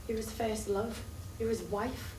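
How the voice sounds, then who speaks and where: A woman's voice: a little high, steady tone, measured pace, natural voice. An actress in a stage play playing a bitter, jealous woman who confronts her rival in a probing, accusatory way. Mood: bitter, pressing. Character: bitter, jealous live-in lover of an addict, confrontational. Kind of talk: theatrical stage play acting. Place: theatre stage, recorded from far off. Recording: distant echoey stage recording, faint noise.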